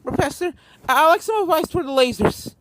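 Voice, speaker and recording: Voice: high voice, expressive, fairly quick, a bit bright, pitched above the performer's own voice. A narrator voicing a plucky but anxious little filly pony who speaks urgently. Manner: urgent, exclaiming.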